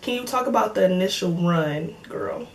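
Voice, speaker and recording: A woman's voice: medium pitch, lively intonation, normal speed, creaky, far from the mic, noisy background. A woman of twenty in casual chat, answering viewer comments in a joking, slangy way and laughing often. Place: home filming setup with cameras and lights.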